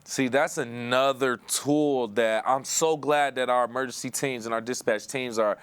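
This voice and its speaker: A man's voice: medium pitch, steady tone, normal speed, fairly bright tone, smooth. American man hosting a conversational interview. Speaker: interview host.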